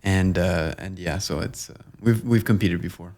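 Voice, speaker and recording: A young man from Long Island, guest on an interview show, talking in a measured, earnest way with fillers and restarts. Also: low-pitched, darkish tone. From Long Island, New York. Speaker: guest, nonprofit co-founder. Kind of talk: conversational interview.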